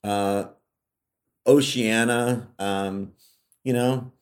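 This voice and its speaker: A man's voice: mid-range voice, steady tone, drawn-out words, smooth. A middle-aged man from California, co-hosting a podcast in casual, reflective conversation full of fillers and restarts. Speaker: podcast co-host.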